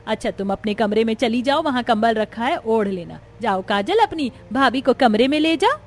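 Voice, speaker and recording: Woman playing a kindly high-voiced cartoon mother-in-law. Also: expressive, moderate pace. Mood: concerned. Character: kindly middle-aged mother-in-law, welcoming and attentive. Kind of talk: Hindi cartoon story voicing.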